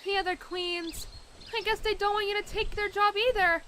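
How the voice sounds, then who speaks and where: A woman's voice: very high voice, steady tone, moderate pace, bright, forceful tone, a little hiss or hum. A woman voicing an eager, chatty three-year-old female dragonet in a fan dub. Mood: chatty, earnest.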